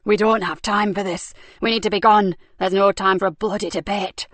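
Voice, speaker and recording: A woman's voice: medium pitch, steady tone, a bit bright, no room sound, natural voice. Woman voicing Cox, a cold crime boss. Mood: urgent. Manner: slow, clipped, threatening.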